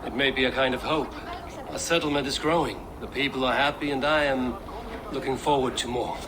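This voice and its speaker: A man's voice: medium pitch, fairly even intonation, brisk, crowd noise, background noise, lightly acted. A man playing a stoic, loyal Viking clan leader in a video game, speaking plainly and with restraint. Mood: reserved, earnest. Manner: restrained, plain-spoken, earnest. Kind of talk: dramatic video game acting.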